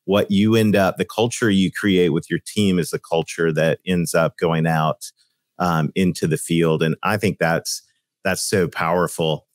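A man in his thirties co-hosting a podcast on a video call, upbeat and quick to laugh. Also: deep voice, no room sound, studio quality. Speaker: podcast co-host. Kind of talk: podcast interview chat. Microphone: video call with desk mic.

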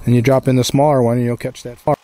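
A middle-aged man giving casual fishing tips outdoors on a frozen lake, murmuring excitedly as he reels fish in. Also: medium pitch, fast talker, a little hiss or hum. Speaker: fishing video host. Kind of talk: casual instructional narration. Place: outdoors on a frozen lake and inside an ice shanty.

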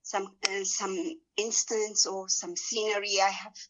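A woman's voice: medium pitch, level tone, a little slow, fairly bright tone, rough recording. A middle-aged woman raised in Ethiopia, with Amharic as her first language, answers interview questions thoughtfully, hesitating and restarting often. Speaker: guest writer and translator. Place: indoors, small room.

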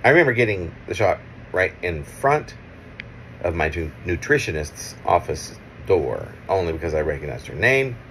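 Deep-voiced middle-aged man reading poetry. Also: big pitch swings, moderate pace, a bit bright, slight background noise. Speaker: poet reading own work. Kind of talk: poetry reading with casual asides. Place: small room at home, indoors. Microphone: phone at arm's length.

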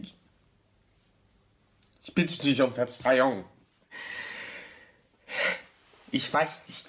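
An actor playing an honest, self-doubting young architect in a radio drama, speaking in a halting, pleading way. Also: male voice, fairly high pitch, fairly bright tone, full character voice. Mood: agitated, apologetic. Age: young adult. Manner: halting, repeats phrases, pleading. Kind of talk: German radio play acting, intimate dialogue.

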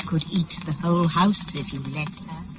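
A woman's voice: sits low, fairly even intonation, moderate pace, noisy background, lightly acted. A woman playing one of the old folk by a hearth, in poetic radio drama style. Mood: musing.

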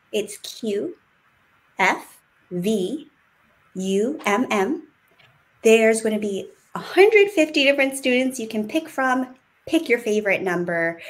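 Upbeat woman presenting on a video call. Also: mid-range voice. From United States. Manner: upbeat, welcoming, speaks in clear steps. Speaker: workshop presenter. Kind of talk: online workshop presentation. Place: home room on a video call.

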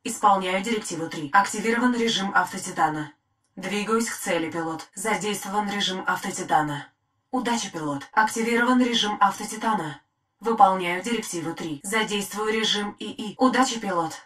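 A woman's voice: medium pitch, brisk, fairly bright tone, natural voice. Woman voicing a formal female combat robot. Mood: composed. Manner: crisp, formal military reporting. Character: female combat robot titan AI, loyal, composed. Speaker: in-game battle announcer. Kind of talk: short scripted combat callouts.

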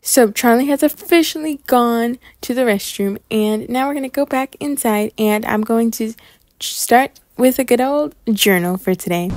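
A woman's voice: a little high, darkish tone, slight background noise. A young woman narrating a vlog casually, in a chatty, informal way full of filler words. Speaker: vlogger.

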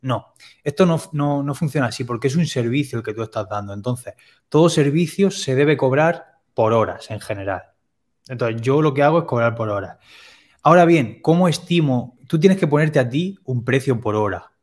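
A young man calmly explaining pricing step by step on a video call, headset mic in a home room. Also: mid-range voice. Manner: plain, step-by-step explanations with quick asides. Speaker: web development teacher, guest expert.

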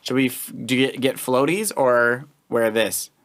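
A child hosting a Let's Play, giving excitable gameplay commentary and often repeating words. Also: medium pitch, moderate pace. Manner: exclamatory, repeats words and phrases. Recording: loud game music behind the voice. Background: video game music.

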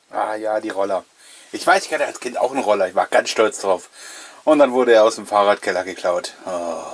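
A man's voice: medium pitch, slightly rough. A middle-aged man giving casual, nostalgic commentary in a chatty, jokey, reminiscing way, as host of a collectors' video series.

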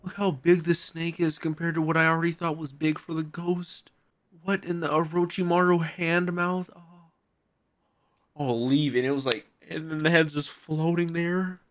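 A young man reacting out loud to an anime episode, exclaiming and swearing casually into a boom mic in a home streaming room. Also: level tone, moderate pace. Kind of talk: excited reaction commentary. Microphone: boom mic with headphones. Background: anime soundtrack playing.

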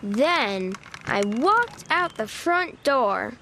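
A woman narrating a children's storybook in an expressive, deliberate way, stretching key words. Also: on the high side, a little hiss or hum.

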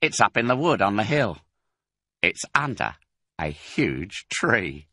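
A thin, scheming farmer from a storybook, drawling and superior, put on by a male reader. He speaks slowly, with a husky edge, in a dry voice that jumps up in pitch. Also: sing-song, sharp tone, dry recording, full character voice. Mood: smug, scheming. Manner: smug, drawn-out.

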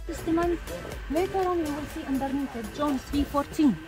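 Woman tour guide, high voice, explaining, music behind. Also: fairly quick. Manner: explanatory, asks the group rhetorical questions. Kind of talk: explaining a site to a tour group. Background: background music.

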